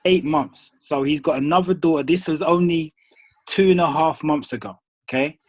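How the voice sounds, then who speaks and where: A man's voice: fairly high pitch, moderate pace, a little mellow, dry recording. A man hosting a group call, reading names and firing up his team in a casual, motivational way. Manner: casual, calls people bro and dude.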